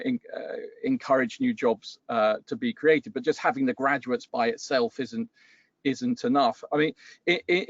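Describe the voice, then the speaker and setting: A man's voice: mid-range voice, no echo, dull audio. A man answering thoughtfully on a webinar panel, hesitating and restarting his sentences.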